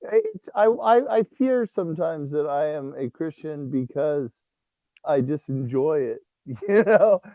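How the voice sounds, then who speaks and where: Higher-voiced priest telling stories with a mellow tone. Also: male voice, a little slow, dry recording, highs cut off. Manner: animated storyteller who laughs often. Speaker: priest and guest author. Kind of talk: casual livestream conversation.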